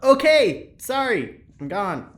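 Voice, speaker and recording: A man's voice: sits high, big pitch swings, slow pace, full character voice. A man playing a friendly ship engineer who trades favors, cajoling and hesitant, in an audio drama. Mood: eager. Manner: cajoling, hesitant, eager to please.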